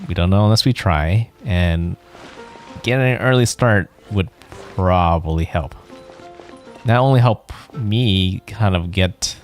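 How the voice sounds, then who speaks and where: A man livestreaming a video game, chatting casually and thinking aloud in a rambling way. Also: low-pitched. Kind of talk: casual chat while playing a game.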